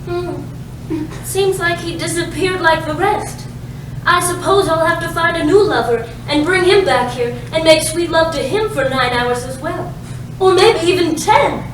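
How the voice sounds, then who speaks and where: Adoring female lover, played by a woman, high and sweetly theatrical, distant mic in a stage play. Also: measured pace. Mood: breezy. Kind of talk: comic stage play reading. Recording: echoey room from far off, clear noise.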